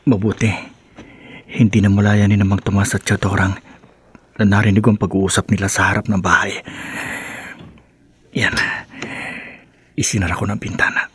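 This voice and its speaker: A man's voice: lowish voice, flat delivery, moderate pace, pauses often, a bit bright, husky. A Filipino man playing an earnest, brooding young man in love in a radio drama. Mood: brooding, determined. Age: young adult.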